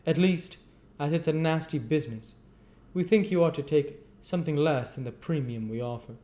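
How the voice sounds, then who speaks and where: A solemn board official from a storybook, performed by a man, speaking in a raised, high pitch. He is stingy, curt and firm. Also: normal speed, warm tone, natural voice. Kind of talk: storybook character voice.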